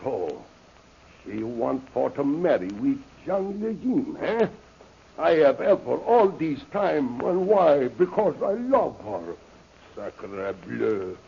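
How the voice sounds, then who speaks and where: An actor playing a jealous, flattering French henchman in an old radio serial, speaking broken English with a French accent. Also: mid-range voice, takes it slowly, gravelly, a little hiss or hum, exaggerated voice. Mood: bitterly jealous. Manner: broken English, oath-peppered, fawning. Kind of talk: 1930s radio serial acting. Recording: muffled old-time radio recording.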